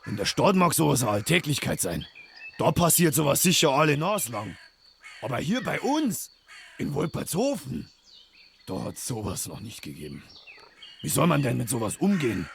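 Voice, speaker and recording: An actor playing an anxious older village mayor with a Bavarian accent, stumbling and repeating words. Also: male voice, mid-range voice, sing-song, normal speed, creaky, slight background noise. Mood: agitated. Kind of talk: game character dialogue.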